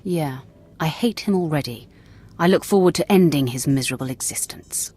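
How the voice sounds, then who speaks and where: A woman's voice: sits low, lively intonation, normal speed, darkish tone, a little hiss or hum. A woman playing an arrogant young acolyte in a video game, cold, threatening and self-assured. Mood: cold, bloodthirsty. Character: menacing, arrogant young female Sith acolyte. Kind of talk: dramatic video game dialogue.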